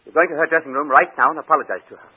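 An actor playing a stern, authoritative ballet company manager in an old-time radio drama, firm and commanding. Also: male voice, a little high, racing, slightly rough. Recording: tinny band-limited old radio recording.

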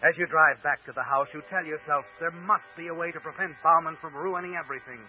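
An actor playing an ominous, knowing narrator who taunts like a conscience, insinuating and deliberate, in 1940s radio suspense style. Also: male voice, on the high side, slight background noise, lightly acted. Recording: tinny old radio recording, music underneath.

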